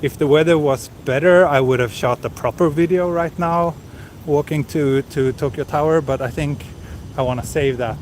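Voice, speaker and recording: A man's voice: on the high side, moderate pace, a little mellow. A man chatting casually while walking outdoors on a city street, reading viewer comments aloud with plenty of hesitant fillers. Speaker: livestream walking vlogger. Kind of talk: casual live-stream chat. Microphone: handheld camera with small recorder mic and wind cover. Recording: street noise around handheld mic. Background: city street ambience.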